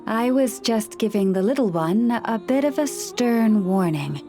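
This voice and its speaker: A calm, courteous librarian. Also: female voice, mid-range voice, a little slow. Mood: patient. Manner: calm, polite, softly formal. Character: gentle, courteous woman librarian and relic keeper who talks to animals. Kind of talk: video game voice acting. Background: game background music.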